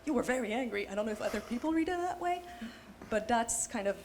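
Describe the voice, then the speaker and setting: A young woman on a panel, talking in an animated, casual way with many fillers. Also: fairly high pitch, quick pace. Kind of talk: panel discussion. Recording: faint background noise.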